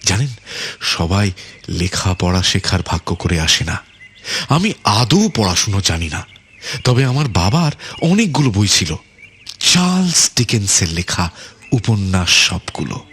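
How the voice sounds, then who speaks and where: A man playing a softly polite but menacing island overlord in a radio drama, repeating his phrases with false courtesy. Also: low-pitched, very animated, a little slow, pauses often, a little hiss or hum, full character voice. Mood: nostalgic. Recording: music under the voice.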